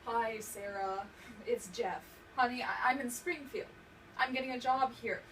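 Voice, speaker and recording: A woman's voice: a little high, a little slow, a bit bright. A woman playing a controlling young ex-boyfriend in a stage monologue, falsely sweet, coaxing and insistent. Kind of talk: dramatic monologue, stage acting. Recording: distant mic, noisy room recording.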